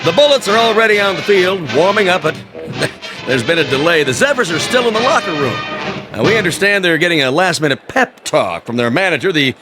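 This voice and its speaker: An actor playing a jaded, sardonic American baseball announcer with showy patter in a radio drama. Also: male voice, fairly high pitch, animated, a little fast, music in the background. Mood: showy, upbeat. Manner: showy on air, sardonic grumbling off it. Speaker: sports announcer. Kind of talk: American radio drama acting, broadcast commentary.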